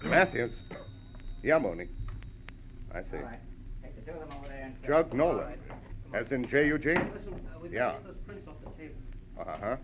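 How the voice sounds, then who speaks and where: An actor playing a matter-of-fact police detective, dry and colloquial, in 1940s radio crime drama style. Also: male voice, medium pitch, sing-song, slow pace, long pauses. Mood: businesslike. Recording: dull old radio recording, crowd noise.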